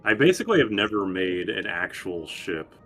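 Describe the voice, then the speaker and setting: Brief: low-pitched man, stream co-commentator, crude joking chat over faint game music. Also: very animated, normal speed, a bit bright.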